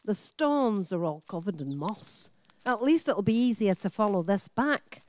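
A woman's voice: mid-range voice, animated, unhurried, darkish tone, a little hiss or hum, natural voice. A woman playing a fretful, chiding older wife in a radio play, anxious and exclamatory. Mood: mildly hopeful.

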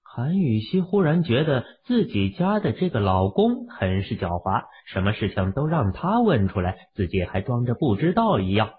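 A man's voice: mid-range voice, very animated, moderate pace, hardly any pauses, breathy edge. A man narrating a novel as a scripted dramatic audiobook. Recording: muffled, phone-like, lo-fi audio.

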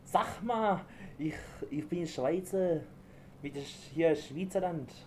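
A man's voice: fairly high pitch, slow, dark tone, a little hiss or hum. A man around twenty-one chatting with strangers on a video call, slangy, teasing and playful. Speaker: video chat vlogger. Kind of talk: casual joking chat with strangers. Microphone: webcam or computer mic on a video call.

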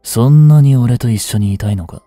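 An actor playing a cocky, rough-tongued young male delinquent in a Japanese audio drama, with brash, slangy tough-guy talk. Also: mid-range voice, normal speed, mellow, no room sound, faint music behind, lightly acted. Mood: irritated, gruff. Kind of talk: Japanese audio drama acting, one-sided dialogue to the listener.

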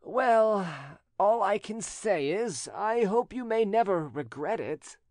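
A man's voice: sits high, very animated, moderate pace, dry recording, voice pushed up. A man voicing a jealous, scheming spurned suitor in a storybook reading, with insistent, theatrical delivery. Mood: resentful, grudging.

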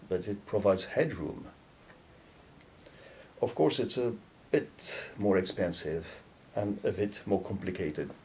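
A man in his fifties giving a measured, halting explanatory product talk to camera, pausing between phrases. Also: creaky, a little mellow. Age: 50s. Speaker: loudspeaker company presenter. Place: dark indoor studio or demo room, seated. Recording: low rumbling background noise.

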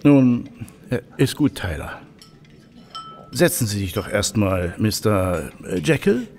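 An actor playing a genial, chatty professor of technical history in an audio drama, with a hesitant, jovial and bookish manner. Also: male voice, on the low side, sing-song, slow, darkish tone, exaggerated voice.

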